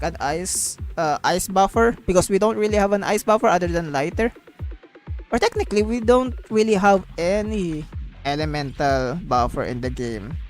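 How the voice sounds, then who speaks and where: A streamer chatting casually on a livestream in a rambling way, often repeating phrases. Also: medium pitch.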